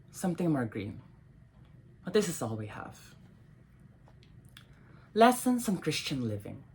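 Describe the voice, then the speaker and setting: Woman, poet at a literary reading: low register, some rasp, measured and expressive delivery. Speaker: poet reading own poems. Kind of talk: dramatic poetry reading.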